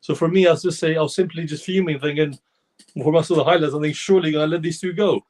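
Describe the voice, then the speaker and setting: A man on a football fan show talks with heat and rambles, starting his sentences over and over. His voice sits a little high and is slightly hoarse. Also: fairly even intonation, fast talker, a little mellow. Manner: heated, rambling with many restarts. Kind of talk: casual football fan discussion.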